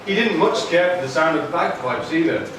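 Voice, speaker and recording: High-voiced male storyteller in an echoey hall. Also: expressive, normal speed. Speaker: puppet show narrator. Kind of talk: comic scripted storytelling to a live audience. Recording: echoey noisy hall, recorded from far off.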